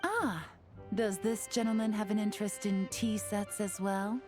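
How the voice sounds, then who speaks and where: A woman's voice: medium pitch, fairly even intonation, a little slow, music in the background, natural voice. An actress playing a composed, shrewd woman agent in a video game, speaking in a polite, self-assured manner. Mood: politely probing. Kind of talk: video game character acting.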